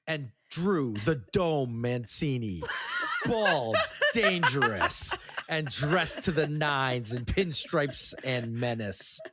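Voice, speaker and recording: A man's voice: mid-range voice, lively intonation, slow, heavy background noise. A man hosting a podcast, moving between casual chat and scripted scene narration.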